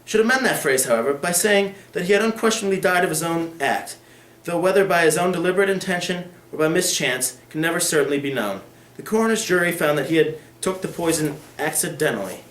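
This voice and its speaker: A young man voicing a calm, secretive older lawyer who speaks in a measured way. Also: fairly high pitch, pitched above the performer's own voice. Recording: echoey room.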